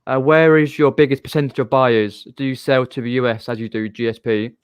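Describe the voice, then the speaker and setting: A man's voice: medium pitch, steady tone, brisk, smooth, no echo, highs cut off. A man with a southern English accent hosting a casual live-stream chat, rambling and chatty with frequent restarts. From southern England.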